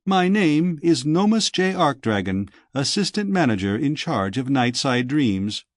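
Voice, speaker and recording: A man's voice: medium pitch, very animated, unhurried, no echo, studio quality. A man voicing a sleek young dream-shop manager in an audiobook, with polished, formal, lecturing sales patter. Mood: smooth, formal.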